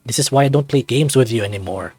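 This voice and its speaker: Visual novel son, male performer: young adult, sarcastic gamer, needling banter, soft music bed. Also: mid-range voice, fast, slightly rough, no echo. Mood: snarky.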